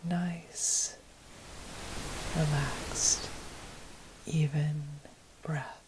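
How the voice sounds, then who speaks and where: A woman leading a guided meditation in a gentle, unhurried, breathy voice that often nears a whisper. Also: low-pitched, fairly even intonation, background noise. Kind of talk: guided meditation, slow soothing narration. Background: meditation music.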